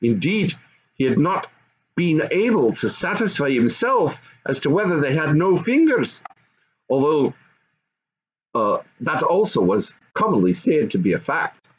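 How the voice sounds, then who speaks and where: A man's voice: a little high, sing-song, unhurried, muffled recording. An older man reading a children's storybook aloud as narrator. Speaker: storybook reader.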